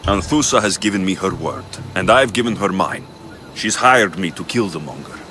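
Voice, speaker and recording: A man's voice: deep voice, lively intonation, normal speed, bright, forceful tone, a little hiss or hum. A man playing a blunt, confident young mercenary in dramatic video game dialogue. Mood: grave, determined. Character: blunt, confident male Spartan-born mercenary.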